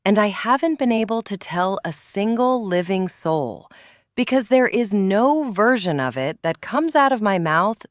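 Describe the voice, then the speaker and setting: A woman in her forties with a fairly low, animated voice tells a personal story. She speaks candidly and conversationally, leaning on short emphatic phrases. The recording is close and dry. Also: dry recording. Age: 40s. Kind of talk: confessional dramatic monologue, conversational narration.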